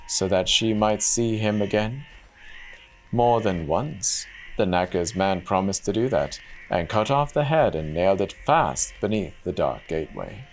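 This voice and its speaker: Deep-voiced man telling a fairy tale. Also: slight background noise, low-quality recording. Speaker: storyteller. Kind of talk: dramatic fairy-tale reading.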